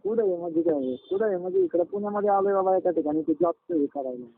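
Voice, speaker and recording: A man's voice: extremely high pitch, animated, fast, gravelly, no echo. A young man chatting casually with a friend in an insistent, blunt, repetitive way on a phone call. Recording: narrow, tinny phone call recording.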